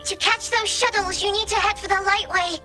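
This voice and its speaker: Eager, very high-pitched girl guide. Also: fairly even intonation, a little fast, music in the background. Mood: explaining. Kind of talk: video game character dialogue.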